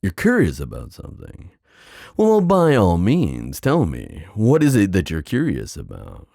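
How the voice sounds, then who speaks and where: A deep-voiced, playful giant sea god played by a man for an ASMR roleplay. He lingers over his words in a slow, theatrical, teasing way, and his pitch swings widely as he flirts. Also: wildly swooping pitch, gravelly, warm tone, no echo, clean studio sound, heavily acted. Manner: teasing, theatrical, drawn-out phrasing.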